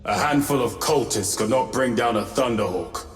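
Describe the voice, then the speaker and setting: A man's voice: mid-range voice, natural voice. Man as solemn warrior, distant in echoing hall. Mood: grave. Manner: measured, formal, grave. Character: solemn loyal male space marine warrior, measured and formal. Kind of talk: dramatic video game cutscene acting. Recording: echoey hall, distant mic, faint music.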